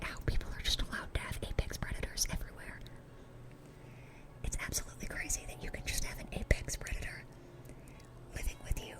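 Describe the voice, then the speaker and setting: A woman's voice: medium pitch, lightly acted. A woman playing a dorky, eager young demon in a whispered ASMR roleplay, rambling sweetly and often repeating her words.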